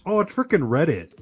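A man hosting a casual podcast, with a raspy, smoker-like voice and a monotone, joking delivery. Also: a little high. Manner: monotone, joking, says "dude" a lot. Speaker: podcast host. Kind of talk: casual chat.

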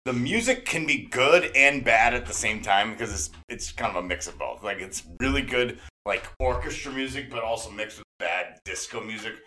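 A man hosting an interview in casual chat, gushing like an excited fan, slangy and often repeating himself. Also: fairly low pitch, normal speed, few pauses, a little hiss or hum. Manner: gushing, effusive fan enthusiasm. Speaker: podcast host interviewing a guest.

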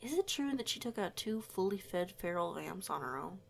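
A woman's voice: lowish voice, big pitch swings, normal speed, creaky, full character voice. A woman playing a young werewolf outsider in an audio roleplay, stammering, sweary and emphatic. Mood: wary gossip. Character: young female werewolf outsider, flustered, foul-mouthed, fiery.

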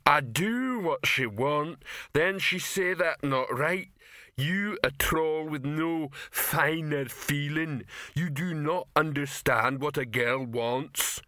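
A dim, lovesick troll from a fantasy storybook, played by a man, full of glum grievance. He plods very slowly through broken grammar, pitched higher than the narration, close and dry. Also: sharp tone, no room sound. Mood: aggrieved, mournful. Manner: very slow, plodding broken grammar. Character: mournful, lovelorn, dim male troll. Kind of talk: audiobook character voice.

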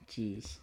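A man from Ghana whose mother tongue is Twi, hosting a casual, reflective podcast conversation in a hesitant, thoughtful manner. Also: very noisy. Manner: hesitant, thoughtful, trailing pauses. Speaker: podcast host.

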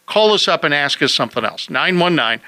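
A man's voice: fairly high pitch, lively intonation, brisk, bright, forceful tone, no room sound. A man hosting a home-improvement radio call-in show, chatting casually and cracking jokes. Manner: joking, wisecracking.

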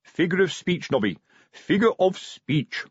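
A man's voice: high voice, sing-song, a little slow, husky, no room sound, dull audio, pitched above the performer's own voice, heavily acted. A man voicing a pompous, fatherly older police sergeant in an audiobook, hesitant and prudish in his colloquial talk. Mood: grave, knowing. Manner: hesitant, self-important, colloquial working-class phrasing. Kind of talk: audiobook character dialogue.

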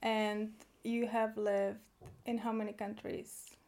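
A woman's voice: medium pitch, flat delivery, takes it slowly, darkish tone. A woman from Ukraine hosts a casual interview, asking curious follow-up questions. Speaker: host.

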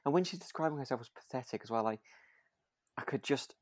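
A man's voice: mid-range voice, animated, fast, no room sound, studio quality. A man co-hosting a casual podcast, speaking hesitantly and repeating words as he thinks. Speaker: podcast co-host. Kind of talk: casual chat about a radio drama.

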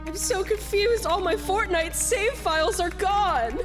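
A woman voicing a crude, scheming female bat in a comedic fan dub, over loud music. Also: extremely high pitch, unhurried. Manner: excitable, crude, boastful.

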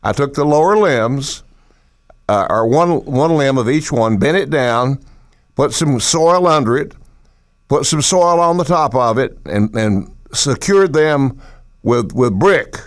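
A man co-hosting a garden radio show, telling stories in a halting way with repeated words and chuckles. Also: mid-range voice, animated. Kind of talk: call-in radio chat.

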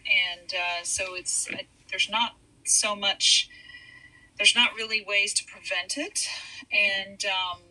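A middle-aged woman from Hawaii, a podcast guest, speaking softly and haltingly with many fillers in a casual interview. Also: mid-range voice, steady tone, poor audio.

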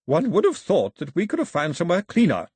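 A man voicing a haughty, sharp-tongued aristocratic grandmother in an audiobook, imperious and scolding. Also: high-pitched, huge pitch swings, a little fast, husky, no room sound, clean studio sound, pitched above the performer's own voice, heavily acted. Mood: disdainful. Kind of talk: audiobook character voice.

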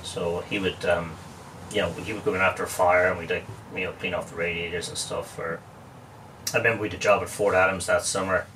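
Deep-voiced Dublin-accented man. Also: fairly even intonation, a little fast, pushed and bright, far from the mic, a little hiss or hum, low-quality recording. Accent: Irish, Dublin. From Ireland. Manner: chatty storyteller, wry jokes, frequent restarts. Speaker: guest, real estate expert. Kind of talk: casual interview chat.

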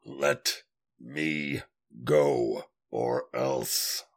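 An actor playing a gruff, hot-tempered, beer-loving retired team member in an audio drama, blunt and grumbling. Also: male voice, very deep voice, fairly even intonation, drawn-out words, exaggerated voice. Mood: irritated, threatening.

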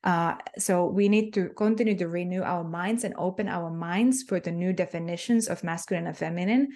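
A woman's voice: lowish voice, fairly quick, darkish tone. A Finnish-accented woman, a guest on an interview, speaking earnestly about spiritual ideas and repeating herself with many fillers. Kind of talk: spiritual talk.

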